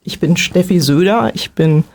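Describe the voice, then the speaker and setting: A woman's voice: on the low side, moderate pace, darkish tone. A woman in her forties, a police road safety teacher, answering questions in an interview. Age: 40s.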